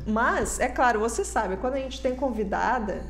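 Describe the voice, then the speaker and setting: A woman's voice: a little high, normal speed, a little hiss or hum. A woman hosting a podcast who chats in a friendly way and laughs often as she questions her guest. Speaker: podcast host. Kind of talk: casual chat interview.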